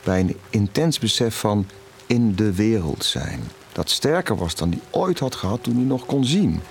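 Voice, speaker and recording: Middle-aged father, confessional and tender, audio drama; lowish pitch, expressive swings, faint music underneath. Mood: gentle, reflective. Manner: halting, repeats words when emotional. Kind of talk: audio drama acting, intimate dialogue.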